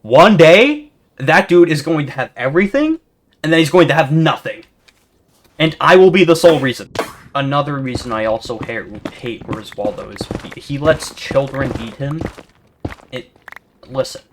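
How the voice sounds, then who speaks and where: A man giving rambling, monotone commentary over gameplay, full of fillers and restarts, as a gaming video creator. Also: fairly high pitch, moderate pace. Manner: rambling, self-described yapper with many fillers and restarts. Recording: steady background noise.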